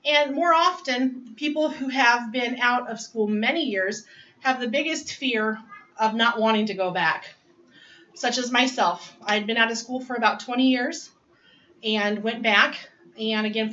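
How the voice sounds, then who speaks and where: A woman's voice: a little high, pushed and bright, recorded from a distance, muffled recording. A woman in her forties telling her story of going back to school in a casual, chatty way with frequent laughs. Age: 40s. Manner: chatty, laughs often, restarts phrases. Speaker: student giving a speech. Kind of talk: personal narrative speech. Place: home dining area.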